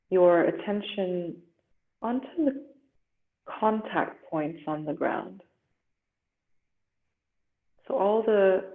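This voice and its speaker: A woman yoga instructor leading a guided relaxation meditation in a gentle, soothing, unhurried way with long pauses. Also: fairly low pitch. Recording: roomy echo, muffled phone-like sound.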